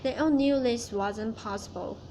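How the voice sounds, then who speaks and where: A woman's voice: fairly high pitch, measured pace, warm tone, a little hiss or hum. A woman student giving a prepared class speech, reciting it carefully and restarting words she stumbles on.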